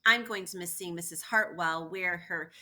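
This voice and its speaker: A woman's voice: lowish voice, normal speed, sharp tone. A woman voicing earnest, eager young schoolchildren from a picture book in simple, emphatic declarations. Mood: wistful.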